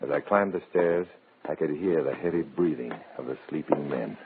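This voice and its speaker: Through a crackly, narrow old radio recording comes a hard-boiled undercover agent with a very deep voice. He tells his own story in clipped, tense phrases, in the dramatic manner of 1950s radio suspense. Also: normal speed. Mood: tense, grim. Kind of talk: 1950s radio drama acting, first-person suspense narration.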